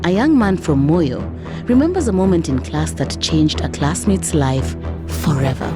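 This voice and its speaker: Expressive woman hosting over music. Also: sits low, normal speed. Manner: dramatic, rhetorical delivery. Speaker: podcast host. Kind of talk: scripted narrative storytelling. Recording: music behind the voice.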